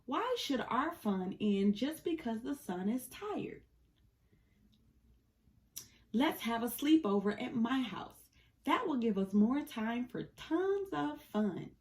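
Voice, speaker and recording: A woman voicing a friendly, laid-back male cat in a children's storybook, with an easygoing, expressive delivery. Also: on the high side, measured pace. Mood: excited.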